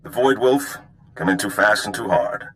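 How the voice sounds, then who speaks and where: Lively, low-voiced sly operator. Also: male voice, normal speed, poor audio. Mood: briefing, wry. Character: sly, easygoing male underworld operative briefing a captain. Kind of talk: video game acting.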